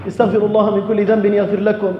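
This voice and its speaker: Male preacher, very high-pitched, impassioned and exhorting, delivering an Islamic sermon in a long-reverb hall. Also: level tone, very fast. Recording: echoey reverberant hall, dull, faint noise.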